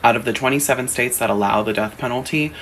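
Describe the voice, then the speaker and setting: A man's voice: mid-range voice, fast talker, fairly bright tone, a little hiss or hum. A man giving scripted commentary in an earnest, explanatory way. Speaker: video commentator in a played clip. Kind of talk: scripted social media commentary.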